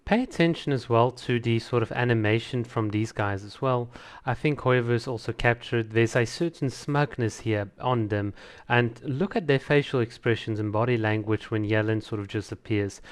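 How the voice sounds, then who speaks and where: Man, mid-range pitch, casual reaction commentary, conversational, opinionated, enthusiastic video host. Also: moderate pace, clear, resonant tone. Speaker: host of a video channel reviewing game trailers.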